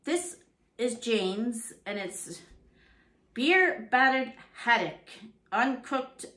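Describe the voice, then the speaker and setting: A middle-aged woman from Canada chatting casually through her grocery haul in her home kitchen, reading prices aloud and thinking out loud. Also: fairly high pitch, lively intonation, very slow. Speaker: vlogger. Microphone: fixed camera at table.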